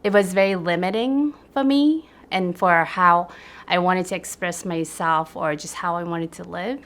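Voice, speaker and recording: A woman from Japan speaking in an interview, reflective and pausing often with "um.". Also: fairly low pitch, fairly bright tone. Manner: reflective, with hesitant fillers. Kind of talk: documentary interview.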